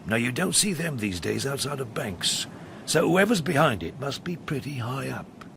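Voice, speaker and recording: An actor playing a seedy, eager-to-please sea captain in a radio drama, talking colloquially and evasively. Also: male voice, medium pitch, big pitch swings, normal speed, creaky, a bit bright, slight background noise. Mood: confiding. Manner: colloquial, evasive, hesitant when pressed.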